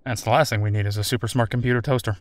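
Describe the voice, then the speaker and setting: Audio drama casting: male actor as military officer interviewer, casual, wry, mid-pitched, recorded close and dry. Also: steady tone, very fast, no echo, natural voice. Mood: casual, curious.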